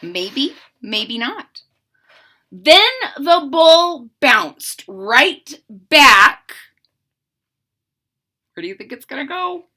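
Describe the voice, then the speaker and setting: A woman reading a picture book aloud in a theatrical, drawn-out way, coaxing young listeners with questions and explanations. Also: sits high, slight background noise. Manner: animated, theatrical, drawn-out emphasis; coaxing, explaining asides to children. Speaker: children's story reader and channel host.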